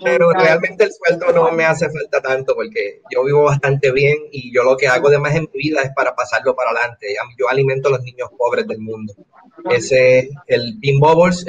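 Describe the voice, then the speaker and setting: A man's voice: mid-range voice, a little fast, muffled recording. A middle-aged man with a Puerto Rican accent, a guest comedian chatting in a casual interview and often checking "me entiendes?". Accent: Puerto Rican Spanish. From Puerto Rico. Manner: chatty, keeps asking "me entiendes?". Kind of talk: casual interview over a call.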